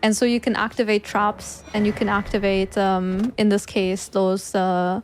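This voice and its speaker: A woman's voice: mid-range voice, level tone, a little slow. Woman, German accent, casual game commentator, chatty, faint noise. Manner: chatty, laughs often, fillers.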